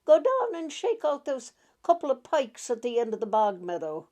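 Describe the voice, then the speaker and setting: A woman's voice: a little high, wildly swooping pitch, moderate pace, warm tone, voice pushed up. A woman voicing a stern, stubborn old farm grandmother, abrupt and commanding, in a storybook reading. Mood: stern, ordering.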